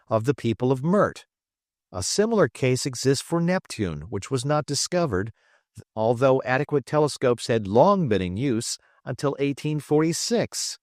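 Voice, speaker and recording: A man's voice: mid-range voice, no echo, clean studio sound, voice pushed up, close to an everyday voice. A man voicing a triumphant, boastful male space trader in an audiobook, grandiose and teasing as he lectures. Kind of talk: audiobook character dialogue.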